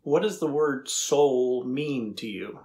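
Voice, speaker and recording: A middle-aged man playing an earnest, curious AI researcher in a dramatized reading, asking questions deliberately. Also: mid-range voice, darkish tone.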